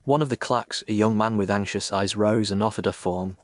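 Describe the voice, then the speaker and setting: Low-voiced man narrating, close and dry. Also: steady tone, no echo. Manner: measured, literary, formal. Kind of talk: scripted narration of a Victorian detective story.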